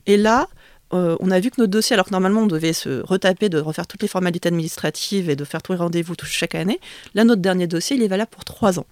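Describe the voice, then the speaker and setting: A woman's voice: lowish voice, darkish tone, no echo, clean studio sound. A middle-aged woman whose first language is Vietnamese, a writer being interviewed, speaking thoughtfully with frequent hesitations and restarts. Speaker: author guest. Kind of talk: conversational interview.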